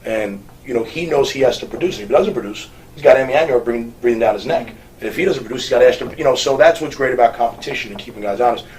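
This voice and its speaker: A man coaching college basketball, talking fast and in an animated way as he praises a player. His voice sits a little back from the microphone, with faint ambience behind it. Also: medium pitch, recorded from a distance, slight background noise, poor audio. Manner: fast, animated, laughs while quoting players. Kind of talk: casual interview chat.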